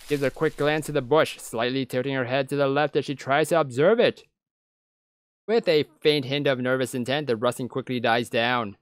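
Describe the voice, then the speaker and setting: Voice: mid-range voice, clean tone, no echo, clean studio sound. A let's play host of unclear sex, reading a visual novel aloud with casual, musing commentary. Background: game music.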